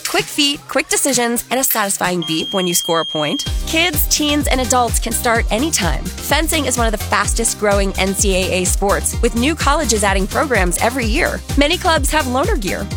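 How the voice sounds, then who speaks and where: A woman with a higher voice reading an ad in a bright, encouraging way. Music plays clearly behind her. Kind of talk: scripted ad read.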